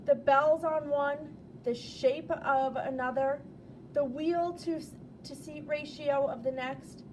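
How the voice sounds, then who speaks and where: Female storybook reader, notably high voice, expressive and deliberate delivery, draws out key words, faint noise behind. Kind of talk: children's storybook read-aloud.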